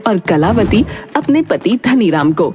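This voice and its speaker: A woman's voice: mid-range voice, very animated, unhurried, over music. A woman narrator reading a scripted moral tale in a storytelling style.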